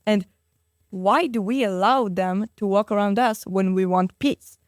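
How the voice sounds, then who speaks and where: The young female guest on an interview show, a teenager with a clean, smooth voice close in a dry room, making an impassioned case. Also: mid-range voice, unhurried, no echo. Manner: outspoken, emphatic rhetorical questions. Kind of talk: conversational interview, impassioned argument.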